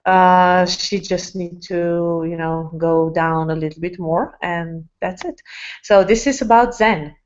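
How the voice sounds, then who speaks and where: A woman's voice: lowish voice, fairly even intonation, takes it slowly, highs cut off. A woman speaking English as a second language, giving an online sales team presentation with many fillers and restarts and an enthusiastic manner. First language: not English. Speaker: network marketing presenter.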